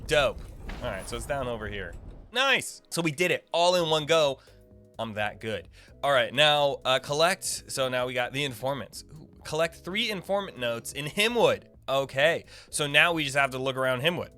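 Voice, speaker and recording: A man's voice: medium pitch, lively intonation, normal speed, bright, forceful tone. Slangy young man commentating over game music. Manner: slangy, playfully self-congratulatory, thinking aloud. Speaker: gaming video creator giving a quest guide. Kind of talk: casual live gameplay commentary.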